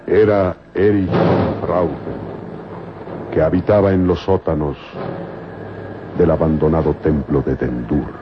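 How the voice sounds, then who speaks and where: A man's voice: a bass voice, steady tone, slow, pauses often, background noise. A man narrating a scripted adventure serial in a dramatic, old-time radio style. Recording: muffled old radio recording. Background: music.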